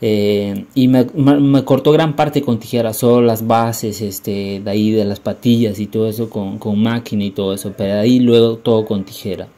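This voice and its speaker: A man's voice: lowish voice, steady tone, moderate pace, few pauses, dark tone. A young man narrating a casual travel vlog in a rambling, hesitant way, often repeating words. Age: young adult. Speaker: vlogger.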